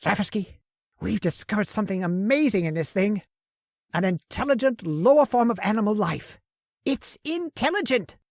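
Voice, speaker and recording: A woman's voice: medium pitch, sing-song, moderate pace, no echo, clean studio sound. A woman playing an obsessive, arrogant male scientist who bosses his assistant around and sneers at him. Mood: excited. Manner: bossy and domineering, gloats and sneers. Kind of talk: old-time radio horror acting.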